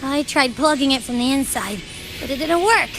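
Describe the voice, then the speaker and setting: A woman's voice: sits high, expressive, normal speed. A woman voicing a small, spirited, childlike companion creature in an anime game. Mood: concerned, puzzled. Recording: noisy game livestream capture.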